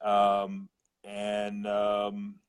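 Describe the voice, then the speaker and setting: Slow, halting older New man. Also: lowish voice, completely flat delivery, smooth. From New York City. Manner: slow, halting, many hesitations. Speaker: guest. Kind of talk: casual interview.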